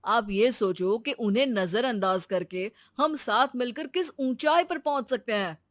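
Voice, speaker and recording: A woman voicing a kind, supportive young husband in a cartoon, speaking gently and reasonably. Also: fairly high pitch, animated, unhurried, no echo, clean studio sound. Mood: encouraging.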